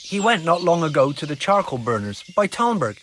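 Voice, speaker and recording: A helpful medieval villager in a video game. His voice is high and swings up and down in pitch. Faint outdoor noise is behind him. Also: big pitch swings, brisk, a bit bright. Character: helpful medieval local man giving directions. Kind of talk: video game cutscene dialogue.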